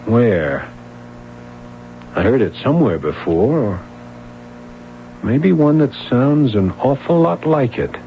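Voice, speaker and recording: An actor playing a skeptical, low-key police sergeant in a radio mystery drama, questioning in a measured, hesitant way. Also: male voice, animated. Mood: probing. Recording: dull, hissy old radio recording.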